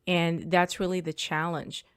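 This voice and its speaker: A woman's voice: fairly low pitch, flat delivery, measured pace, clean tone, no room sound, clean studio sound. A middle-aged woman, a native Spanish speaker, answering interview questions as an attorney, earnest and thoughtful with small restarts. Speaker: estate planning attorney, guest.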